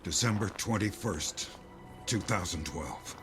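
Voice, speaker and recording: Earnest doomsday believer, low voice, grave and insistent, secretive, audio drama, music underneath. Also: male voice, animated, a little slow.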